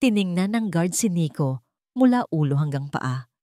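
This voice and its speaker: A woman's voice: on the low side, animated, normal speed, warm tone, no echo, clean studio sound. A woman reading a scripted dramatic story as its narrator.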